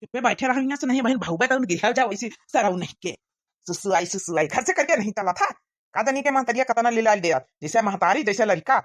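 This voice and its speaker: A woman's voice: a little high, animated, a little fast, no room sound. A woman voicing a grumbling, exasperated village parent scolding a small child in a comic cartoon, with an Awadhi accent. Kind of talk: cartoon comedy dialogue.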